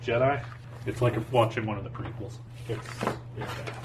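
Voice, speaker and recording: A man's voice: lowish voice, moderate pace, recorded from a distance. A man running a tabletop role-playing game, chatting casually and laughing often. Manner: laughs a lot, animated storytelling. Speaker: lore master. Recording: background noise.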